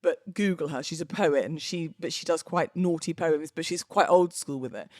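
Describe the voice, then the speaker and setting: A British woman hosting a podcast, moving between informal talk and expressive readings of verse. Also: sits low, normal speed, no echo, clean studio sound. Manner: chatty, informal, giggly. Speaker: podcast host. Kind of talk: casual podcast chat with dramatic poetry readings.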